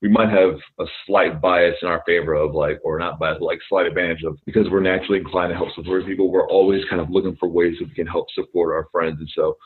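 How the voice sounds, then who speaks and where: A man as a podcast guest, talking fast and earnestly about helping others, with frequent restarts. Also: low voice, steady tone, slight background noise. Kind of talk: casual conversation. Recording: tinny phone-like line.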